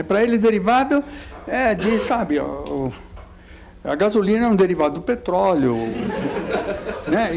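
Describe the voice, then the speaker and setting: An older man born in Italy, with a light Italian accent, giving a physics lecture with slides in a chatty, halting way full of fillers. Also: high-pitched, a little mellow. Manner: halting, many fillers and repeated words; chatty, keeps asking "né?".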